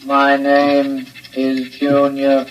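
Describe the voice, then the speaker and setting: A robot child from an old radio play. The voice grinds along mechanically in a dead monotone, slow and simple as a six-year-old, obedient and cold. The recording is dull. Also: male voice, mid-range voice, a little hiss or hum. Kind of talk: old-time radio drama acting.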